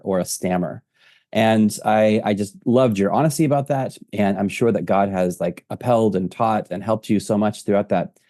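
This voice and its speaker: A man hosting an interview, asking questions in a halting way with fillers and repeated words. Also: fairly low pitch, mellow, no echo, clean studio sound. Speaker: interview host.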